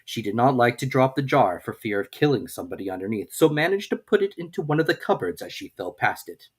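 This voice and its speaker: A narrator reading a classic children's story aloud in an expressive storytelling style. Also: mid-range voice, fast talker, husky. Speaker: audiobook narrator.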